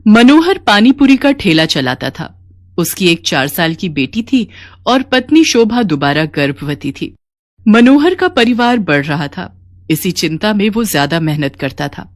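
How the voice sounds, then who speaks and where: A woman's voice: on the low side, big pitch swings, a little slow, dry recording. A woman narrator reading a scripted children's story.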